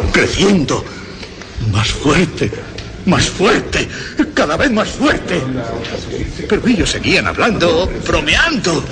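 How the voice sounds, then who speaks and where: Theatrical mad murderer, wildly swinging voice. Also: male voice, fairly high pitch, heavy background noise, exaggerated voice. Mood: agitated, frantic. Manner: theatrical, deliberate phrasing with intense emphasis. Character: mad murderer narrating his crime, increasingly frantic. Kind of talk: Spanish radio horror drama monologue.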